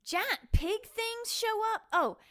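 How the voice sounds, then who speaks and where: A woman's voice: very high-pitched, expressive, takes it slowly, fairly bright tone, exaggerated voice. A woman playing a suspicious, frightened young small-town woman in an audio drama, speaking in an accusatory, confrontational way. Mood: accusing, nervous.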